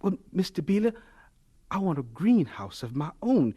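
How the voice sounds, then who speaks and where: An actor playing an earnest, dreamy young homesteader in a radio drama, with a wistful, folksy, heartfelt way of talking. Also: male voice, sits high, sing-song, moderate pace, dark tone, breathy edge, full character voice. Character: earnest, dreamy young homesteader, later recalling his life.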